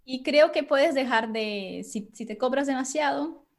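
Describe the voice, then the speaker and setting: A woman who teaches Spanish chats as a podcast guest in a slightly high voice. She talks animatedly and often laughs between phrases. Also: measured pace. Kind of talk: casual podcast conversation.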